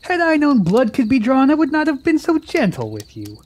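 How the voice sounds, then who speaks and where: Eager young would-be warrior, very animated. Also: male voice, very high voice, normal speed, exaggerated voice. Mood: bold. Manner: earnest, formal archaic phrasing. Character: eager, boastful young man, aspiring warrior. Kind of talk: fantasy radio drama acting.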